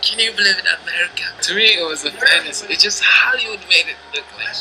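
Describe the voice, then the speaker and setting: A man from Niger telling his story in a documentary interview, speaking haltingly with many restarts, earnest and plain. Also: high-pitched, sharp tone, rough recording.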